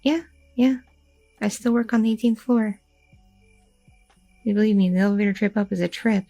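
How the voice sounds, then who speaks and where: A young goth girlfriend, acted by a woman in an ASMR roleplay, chatty and teasingly curious. Her voice is soft-edged and mellow, with a husky grain and a crackle of vocal fry. She rambles casually in everyday slang. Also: medium pitch, steady tone, normal speed, soft background music, natural voice. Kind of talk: intimate ASMR audio roleplay.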